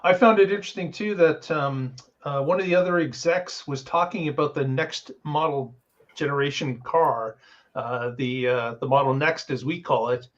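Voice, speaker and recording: A man giving casual commentary as a panelist, halting and pausing between phrases, on a dull lo-fi microphone. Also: fairly high pitch. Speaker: talk show panelist.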